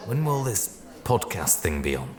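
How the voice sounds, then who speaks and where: Man acting deep-voiced obliging local fellow. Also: sing-song, a little slow, over music. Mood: helpful, reflective. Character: obliging middle-aged local man, gently teasing. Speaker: local interviewee. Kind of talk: audio drama dialogue. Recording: noisy background ambience.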